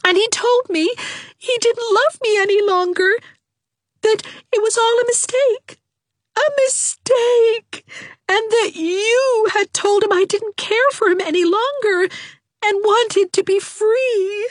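A high, quavering young woman in a storybook reading, weeping and overwrought, drawing out every word with theatrical self-pity. The voice sits far above the reader's own, slow and tear-choked. The recording is dry and close. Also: no echo. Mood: tearful, melodramatic. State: crying. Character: melodramatic young woman, weepy and self-pitying.

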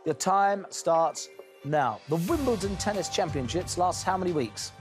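A man hosting a television quiz show, brisk and teasing with jokes between questions. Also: sits high, animated, faint music behind.

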